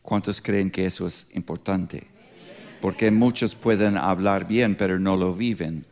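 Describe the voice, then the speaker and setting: Deep, gravelly older American man preaching. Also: steady tone, darkish tone. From Pittsburgh, United States. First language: English. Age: 60s. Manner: halting second-language speech with grammatical slips. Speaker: missionary preacher. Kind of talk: sermon with informal introduction.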